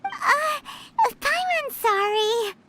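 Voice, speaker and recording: A woman voicing a tiny, cheeky female companion who floats along, with a squeaky childlike voice. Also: lively intonation, very slow, very noisy, exaggerated voice.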